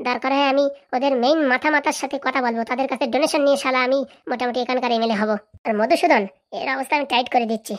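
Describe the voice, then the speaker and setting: Woman acting cartoon village boss lady, high voice, rapid-fire, bossy, menacing. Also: lightly acted. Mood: scheming. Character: scheming, threatening, power-hungry village boss woman. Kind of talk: comedy cartoon dialogue.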